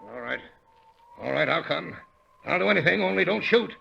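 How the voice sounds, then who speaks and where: A dull, noisy old radio recording carries a wealthy town boss. His voice is heavily creaky and tense, full of nervous bluster and cowardice. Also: a little high, lively intonation, moderate pace, sharp tone, heavily acted. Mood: panicked pleading. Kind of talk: 1930s radio acting.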